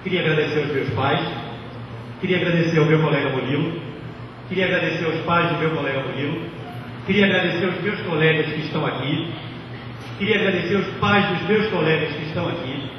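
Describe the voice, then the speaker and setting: High-pitched young man echoing over a PA. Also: fairly quick. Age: 20s. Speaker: graduating student giving a speech. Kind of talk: humorous ceremonial speech. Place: large graduation ceremony at a podium. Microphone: microphone on a stand through speakers. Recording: echoey outdoor PA, muffled, distant, noisy.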